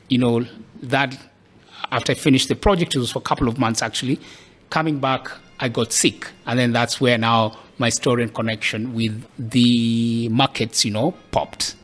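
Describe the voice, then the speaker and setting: Kenyan man telling his story thoughtfully. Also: medium pitch. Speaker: podcast guest. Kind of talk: casual interview storytelling.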